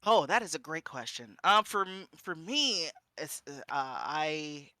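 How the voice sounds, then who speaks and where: A man giving an informal panel talk and answering questions in a conversational, halting, self-correcting way. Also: on the high side, sing-song, sharp tone, no echo, clean studio sound. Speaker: panel speaker and streamer.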